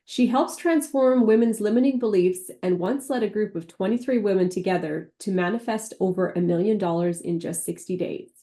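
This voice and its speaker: A woman's voice: mid-range voice, moderate pace, few pauses, warm tone. A woman hosting a podcast, reading an intro and welcoming her guest in an upbeat, announcer-like way. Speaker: podcast host. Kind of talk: scripted podcast intro and interviewing.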